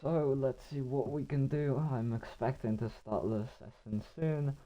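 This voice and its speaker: A young male commentator calling a live online motor race in a hoarse, worn-out voice that has almost given out. His pitch sits in an ordinary middle range, and he rambles on and thinks aloud between bursts of action. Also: fairly even intonation, measured pace, dark tone, lo-fi sound. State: hoarse, voice nearly gone. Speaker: sim racing commentator.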